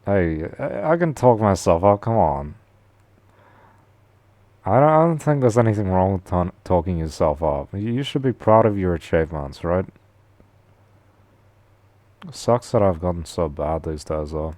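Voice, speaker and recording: A man with a deep voice, rambling casually and slangily to his stream audience. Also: lively intonation, measured pace, long pauses, darkish tone, no echo. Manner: slangy, rambling, says "bro" a lot. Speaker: live streamer.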